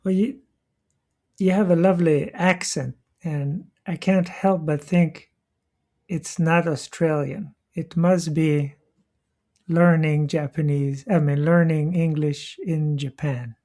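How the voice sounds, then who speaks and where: Mellow, husky-voiced interview host. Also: female voice, low-pitched, fairly even intonation, slow. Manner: gentle, encouraging, lots of soft backchannel. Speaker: podcast host. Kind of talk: interview conversation.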